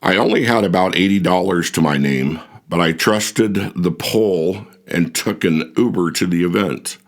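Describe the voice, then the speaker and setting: A man narrating an audiobook foreword in a measured, even, scripted reading. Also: low-pitched. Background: faint music.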